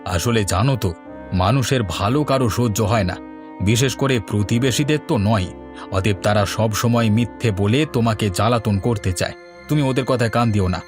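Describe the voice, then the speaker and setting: A man's voice: lowish voice, moderate pace, lightly acted. A man playing a kind, soothing village husband in a cartoon, patient and reassuring. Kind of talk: cartoon voice acting. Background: background music.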